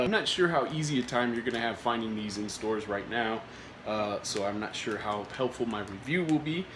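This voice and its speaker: A man hosting a casual food review vlog, getting over a cold, rambling with many filler words and restarts. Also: mid-range voice. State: recovering from a cold. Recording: echoey room with faint noise.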